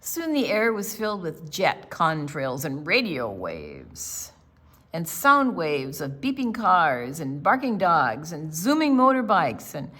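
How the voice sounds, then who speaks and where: A woman reading a picture book aloud to young children as a storytime reader, with deliberate, expressive delivery and casual asides about the pictures. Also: mid-range voice.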